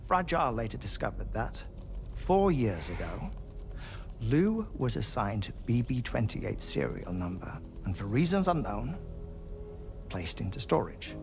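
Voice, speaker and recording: An actor playing a measured, formal investigator who explains things deliberately and courteously in a video game cutscene. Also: male voice, medium pitch, sing-song, a little mellow, soft background music. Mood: grave, questioning. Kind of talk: dramatic expository game cutscene dialogue.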